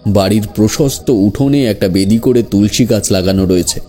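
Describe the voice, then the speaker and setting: A man's voice: on the low side, moderate pace, mellow, soft background music. A man narrating a scripted horror story in the first person.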